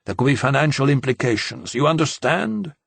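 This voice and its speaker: A man's voice: mid-range voice, lively intonation, a little fast, vocal fry, slightly rough, no echo, voice pushed up. A man voicing a fussy, pompous male university president in an audiobook, testy and scolding. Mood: reproachful. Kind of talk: audiobook character acting.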